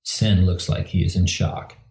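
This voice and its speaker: Deep-voiced male drama narrator, echoey room. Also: steady tone, measured pace, darkish tone. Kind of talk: scripted narration of an audio drama. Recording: roomy, echoing recording. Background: music.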